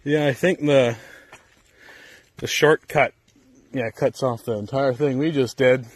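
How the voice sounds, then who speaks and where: A man's voice: mid-range voice, fairly even intonation, unhurried, husky, no room sound. A man in his thirties chatting and joking while hiking a forest trail, filming on a handheld camera. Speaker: vlogger. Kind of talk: casual family hike chat.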